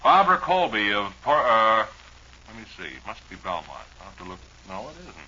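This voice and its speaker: Deep, bright-voiced American old-time radio man. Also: big pitch swings, a little fast. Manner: folksy, wry, rambling. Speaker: radio host. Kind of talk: rambling ad-libbed old-time radio chat with live commercials. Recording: dull, noisy old radio broadcast recording.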